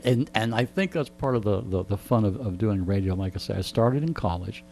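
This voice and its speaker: A man in his sixties in a radio interview, chatting like an animated storyteller and turning aside to address listeners. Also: fairly low pitch, a little fast. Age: 60s. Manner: frequent throat clearing. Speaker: radio show host being interviewed. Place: radio studio. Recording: clear background hum and noise.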